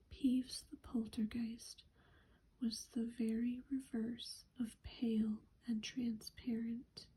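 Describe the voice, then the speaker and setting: A woman reading a story aloud in a soft whisper, as ASMR. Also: a little high, slight background noise, low-quality recording. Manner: whispered, unhurried. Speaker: narrator.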